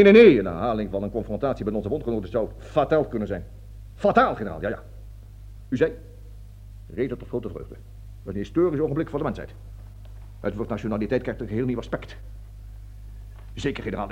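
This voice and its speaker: An actor playing a pompous, fanatical army colonel with a declamatory manner in a satirical radio play. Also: male voice, on the low side, big pitch swings, a little fast, long pauses, a little mellow, husky. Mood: subdued, obsequious. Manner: declamatory speeches, barking orders, stammering when flustered. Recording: dull lo-fi radio drama recording.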